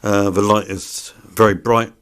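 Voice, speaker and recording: Guy, lowish voice, doing an off the cuff product review, bit hesitant, plain spoken. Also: level tone, unhurried, smooth. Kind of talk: unscripted product review narration. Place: indoors.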